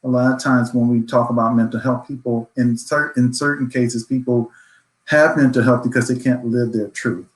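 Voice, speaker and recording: A man gives reflective commentary as a panelist. His mid-pitched voice moves very little in tone, and the room around him rings with echo. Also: flat delivery, moderate pace. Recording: echoey room.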